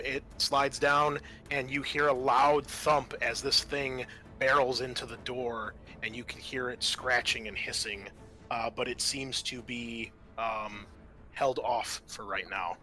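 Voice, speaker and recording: Bright-voiced man running a tabletop game. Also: medium pitch, fairly even intonation, slow pace. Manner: casual, explanatory, thinking aloud. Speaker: game master. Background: background music.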